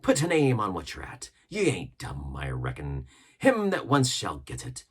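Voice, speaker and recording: A man's voice: low voice, wildly swooping pitch, normal speed, slightly rough, full character voice. A man voicing a fierce, swaggering old one-legged pirate cook who commands and threatens in a storybook reading. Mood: furious challenge. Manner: menacing, swaggering, uses old nautical slang. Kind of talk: storybook character voice.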